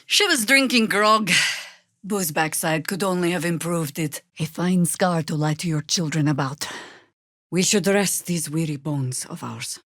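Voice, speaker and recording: A woman voicing a battle-weary, middle-aged druid in a fantasy video game, dry and wry, speaking in measured, formal phrases. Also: on the low side, a bit bright, no echo. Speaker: video game companion character.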